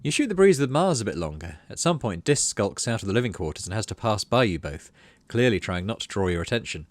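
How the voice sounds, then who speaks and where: A man's voice: on the low side, very animated, fast talker, dry recording. A man hosting a gaming video, casually narrating and commenting as he thinks aloud. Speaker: gaming channel host.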